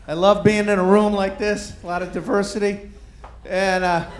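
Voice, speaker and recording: A middle-aged man doing a stand-up comedy set on a club stage, deadpan and self-deprecating, with rambling asides. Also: high voice, moderate pace. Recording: roomy live club with crowd noise. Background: audience murmur and laughter.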